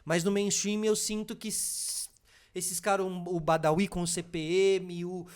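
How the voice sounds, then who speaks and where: A man hosting a casual podcast chat, enthusiastic and quick to agree. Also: high-pitched, fairly even intonation. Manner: enthusiastic, quick to agree, music-fan talk. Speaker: podcast host.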